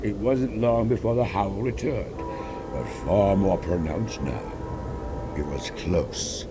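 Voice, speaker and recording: A man's voice: takes it slowly, loud music behind the voice. A man reading fan fiction aloud as dramatic, suspenseful story narration. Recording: loud ambient rumble behind voice. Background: loud ambient noise.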